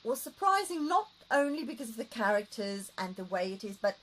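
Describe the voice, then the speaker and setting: A woman's voice: a little high, very animated, a little fast, a bit bright, rough recording. A woman hosting an interview in English, which is not her first language. She is enthusiastic, laughs easily and often adds listening sounds. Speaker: interviewer, host. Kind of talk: casual interview.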